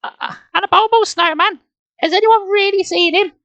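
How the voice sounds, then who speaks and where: A bossy young girl from a storybook, acted out by a reader. The voice is pushed far up in pitch, shrill and emphatic, with a know-it-all edge. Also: fairly even intonation, a little fast, pushed and bright, no echo, dull audio, exaggerated voice. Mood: questioning.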